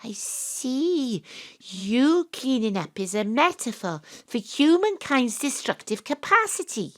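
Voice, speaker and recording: An actress with a Welsh accent playing a plucky, curious, cheeky time traveller in an audio drama, expressive and questioning. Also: female voice, a little high, takes it slowly, no echo, studio quality, full character voice. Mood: curious, bewildered.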